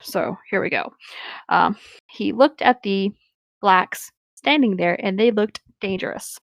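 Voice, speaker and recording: A woman's voice: mid-range voice, measured pace, gravelly, no room sound. A woman reading a story aloud in a casual way, hesitantly breaking off for apologetic asides. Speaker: reader and commentator on a comedic fan fiction reading.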